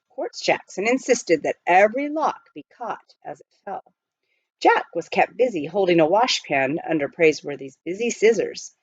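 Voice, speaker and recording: A woman reading a children's novel aloud as an expressive storyteller. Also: mid-range voice, breathy edge, no echo, muffled recording. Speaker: storybook reader.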